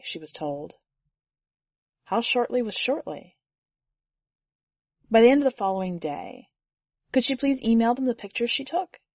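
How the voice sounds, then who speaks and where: A woman podcast editor reading a short story aloud in a measured, even storytelling manner on a close studio mic. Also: mid-range voice, no echo. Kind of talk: fiction audiobook narration.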